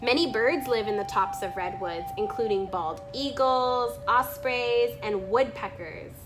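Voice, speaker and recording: A woman with a slightly high voice narrates a nonfiction nature book. She reads very slowly and deliberately, phrase by phrase, as a read-aloud. Music plays clearly behind her. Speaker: reader reading a children's nonfiction book aloud.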